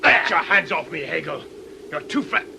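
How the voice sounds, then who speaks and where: A man's voice: fairly high pitch, animated, normal speed, creaky, bright, forceful tone, slight background noise, full character voice. An actor playing a defiant man cornered by police in a radio drama, snarling and insolent. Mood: angry defiant. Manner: aggressive, insolent.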